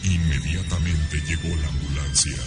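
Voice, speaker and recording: A man's voice: very deep voice, fairly even intonation. A man with a Mexican accent narrating a horror radio drama in a dramatic, suspenseful way. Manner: slow, dramatic, suspenseful delivery. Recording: music loud behind the voice. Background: loud horror drama music.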